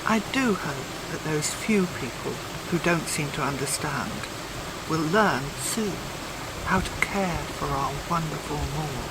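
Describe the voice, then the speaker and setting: A woman reading a personal essay aloud as scripted narration, with measured, deliberate phrasing. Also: low-pitched, expressive, heavy background noise. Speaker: volunteer reader.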